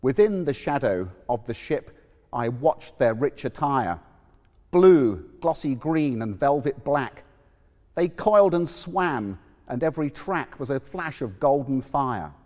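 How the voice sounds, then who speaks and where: A man giving a theatrical, measured poetry recitation with emphatic pauses. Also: mid-range voice, expressive, darkish tone. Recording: long echoing hall reverb.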